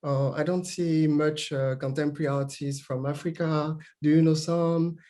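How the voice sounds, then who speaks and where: Male panel guest, native French speaker from Gabon, hesitant English, monotone delivery. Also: on the high side, normal speed. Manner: hesitant, many fillers and restarts. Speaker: panel guest, founder of an art platform. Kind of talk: panel discussion.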